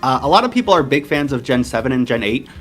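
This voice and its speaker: A man in his twenties from Portugal with an American accent, chatting casually as an interview guest, relaxed and rambling with many fillers. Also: mid-range voice, quick pace, faint music behind. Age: 20s. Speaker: interview guest, competitive game player.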